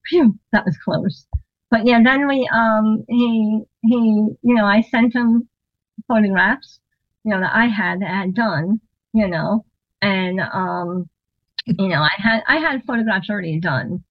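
A woman telling a long story in a casual interview, animated, acting out what people said and laughing often. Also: medium pitch, measured pace, dry recording, narrow, tinny audio. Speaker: interview guest, drummer and singer.

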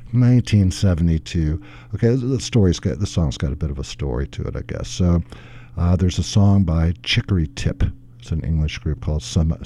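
Older guy on the radio, low warm voice, kinda hoarse, rambles on easy. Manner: rambling, chatty, easygoing storyteller. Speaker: radio DJ and show host. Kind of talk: casual radio patter between songs. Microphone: studio microphone.

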